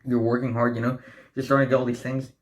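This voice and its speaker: A man's voice: mid-range voice, level tone, fast, rough recording. A young man of eighteen giving blunt, profane self-improvement advice straight to camera, often calling the viewers guys and asking right. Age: young adult. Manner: blunt, profane, preachy motivational talk. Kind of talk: direct-to-camera advice vlog.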